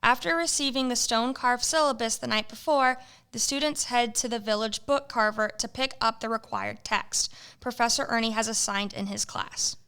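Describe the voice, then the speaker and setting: A woman's voice: a little high, moderate pace, few pauses, a bit bright, smooth. A woman narrating an amateur audio drama, reading stage directions in a matter-of-fact way.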